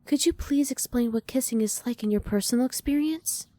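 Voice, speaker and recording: A woman voices a polite, analytical alien woman with a fairly high, mellow voice. She is soft-spoken and emotionally reserved, choosing formal words with measured curiosity. The sound is close and dry, in the style of an ASMR roleplay. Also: no room sound, natural voice. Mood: thoughtful, polite. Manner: formal, measured, precise diction.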